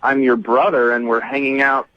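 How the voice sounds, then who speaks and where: A man's voice: medium pitch, flat delivery, normal speed, very noisy. Hesitant man on a dull phone line. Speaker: phone-in guest. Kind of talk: conversational phone call.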